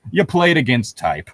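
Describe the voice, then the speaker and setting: A man's voice: fairly high pitch, dry recording, poor audio. A man in a casual, opinionated chat, talking in a rambling, sweary way.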